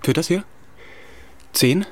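An actor playing an earnest, deferential young author in a radio play, polite and slightly unsure. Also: male voice, slow, lightly acted.

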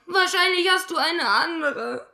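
An actress playing a bitter young jilted lover in a German radio play, indignant, sharp and reproachful. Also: female voice, very high-pitched, measured pace, creaky, echoey room, exaggerated voice. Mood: hurt, accusing. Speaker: actor in a radio drama.

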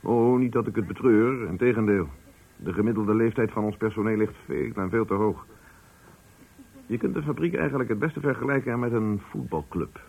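An actor playing a hard-nosed, pompous factory director in a radio play, condescending and scornful of his workers, with a husky voice. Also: on the low side, normal speed, a little mellow, rough recording. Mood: smug, scornful. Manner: pompous, condescending, rhetorical questioning. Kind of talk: Dutch radio play acting.

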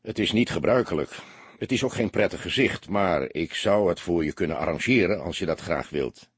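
A man voicing a patient, fatherly older police detective with a measured, gently insistent way of questioning. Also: sits low, expressive, breathy edge, no echo, pitched below the performer's own voice. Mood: fatherly, calm.

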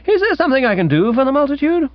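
Man playing a high-pitched, excitable young English gent. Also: racing, no room sound, dull audio. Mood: feigned innocence. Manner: chirpy, indignant, old-fashioned slangy. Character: excitable, breezy young upper-class English gentleman. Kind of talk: comic audiobook character acting.

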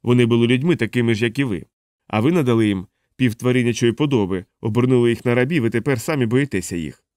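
A desperate male castaway, played by a male narrator, in a medium-pitched voice with a dry, close sound. He is defiant and bitter, throwing accusations in the style of audiobook adventure dialogue. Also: quick pace, darkish tone, dry recording, close to an everyday voice. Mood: defiant, accusing.

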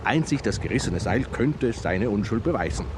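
An actor in a German radio drama playing a proud, middle-aged mountaineer and filmmaker who tells stories with theatrical relish. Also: male voice, on the low side, lively intonation, normal speed, noisy background, close to an everyday voice. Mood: dramatic narrating.

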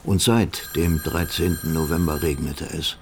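A measured male narrator tells a crime story for radio. The voice sits very low with a creaky grain, over faint noise. The delivery is matter-of-fact. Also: fairly even intonation, heavily acted. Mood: measured, ominous. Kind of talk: scripted radio play narration.

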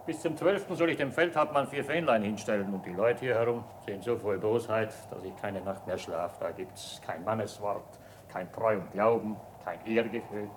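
An actor playing a despairing, world-weary army recruiter who grumbles, in a radio drama. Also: male voice, lowish voice, normal speed, hardly any pauses, lightly acted. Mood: despairing, grumbling. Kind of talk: stage-like radio drama acting. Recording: noisy 1970s radio drama recording.

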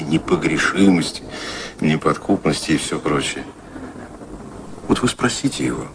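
A worldly, ironic gentleman confidant in a radio play. His low voice rises and falls expressively as he persuades with theatrical, cynical polish. Clear background ambience runs under the speech. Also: noisy background, full character voice. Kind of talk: Russian radio drama acting.

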